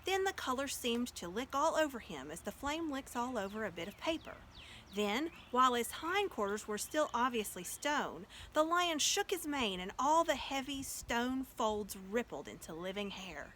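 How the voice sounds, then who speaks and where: A woman reading a children's story aloud as an expressive storyteller. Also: a little high, normal speed, a little hiss or hum.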